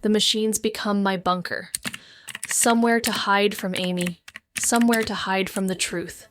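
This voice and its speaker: A woman's voice: medium pitch, fairly even intonation, normal speed, a bit bright, faint music behind, close to an everyday voice. An actress playing a wounded, avoidant woman, narrating a noir audio drama in an introspective, brooding way. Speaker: narrating character.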